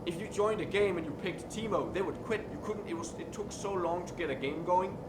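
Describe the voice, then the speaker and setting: A young man with a Danish accent chats loosely and candidly, rambling a bit and swearing freely. His voice sits a little high. He sounds like he is in a roomy, echoing workshop with a steady air-conditioning hum behind him. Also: a little fast. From Denmark. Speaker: streamer and metalworker. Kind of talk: casual chat while working on stream. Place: indoor workshop.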